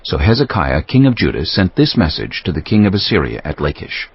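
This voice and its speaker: A man's voice: sits low, very animated, a little fast, slightly rough. A man narrating a dramatized scripture audiobook in a scripted, storytelling delivery. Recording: muffled audio with faint music.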